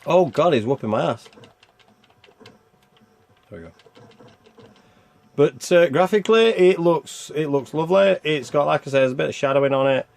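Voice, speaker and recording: A man reviews a video game while playing it, in a casual and rambling style. His voice is gravelly with a lot of fry, and his pitch moves around in a lively way. He thinks aloud as he goes. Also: moderate pace, long pauses. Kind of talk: casual gameplay commentary.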